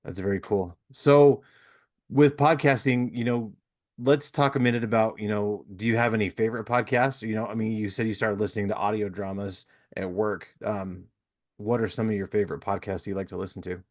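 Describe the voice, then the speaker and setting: Lowish guy hosting a podcast, rambly, says you know a lot. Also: steady tone, brisk. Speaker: podcast host. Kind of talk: casual interview chat.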